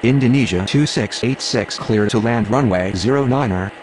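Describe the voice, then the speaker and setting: A man's voice: medium pitch, moderate pace, a little mellow, a little hiss or hum, natural voice. A man voicing a businesslike airline pilot and air controller in a game, speaking clipped, matter-of-fact radio phraseology.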